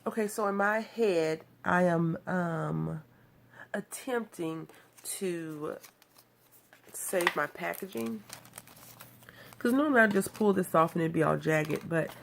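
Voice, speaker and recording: Gravelly-voiced woman with a cold. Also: on the low side, slow, long pauses, slight background noise. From Texas, USA. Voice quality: nasal. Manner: chatty, rambling, self-deprecating. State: has a cold. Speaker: craft video host. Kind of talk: casual card-making tutorial.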